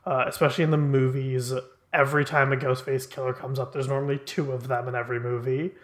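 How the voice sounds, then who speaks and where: A man's voice: medium pitch, level tone, fast talker, a bit bright. A man speaking as a podcast guest in a casual panel discussion, explaining things tentatively.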